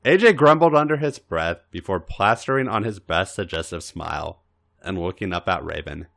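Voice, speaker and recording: A man's voice: low voice, animated, moderate pace, creaky, a bit bright. A man hosting a podcast and reading scripted erotic fan fiction as narrator. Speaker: podcast host and story reader.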